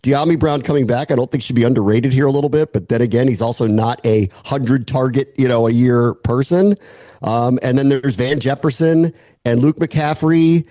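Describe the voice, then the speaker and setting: An American man with a mellow, relaxed voice gives football analysis as a guest. He is conversational and opinionated, often asking himself a question and then weighing it up. His mid-pitched voice is recorded very dry, with little room sound. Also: moderate pace, dry recording. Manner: opinionated, weighing points aloud with rhetorical questions. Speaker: sports analyst, podcast guest.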